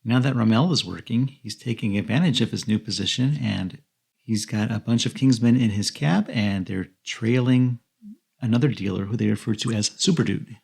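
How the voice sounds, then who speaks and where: A man narrates a film recap as a podcast co-host. His voice is fairly low and husky, with a marked creak running through it. He tells the story in plain narration. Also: normal speed, a little mellow.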